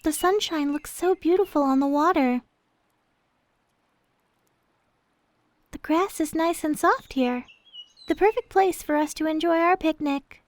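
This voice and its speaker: A woman playing a gentle, devoted young maid in an ASMR roleplay, speaking in a soft, sweet, tender way. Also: high voice, moderate pace, close to an everyday voice. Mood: cheerful, tender. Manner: soft, sweet, tender, hesitant when confiding.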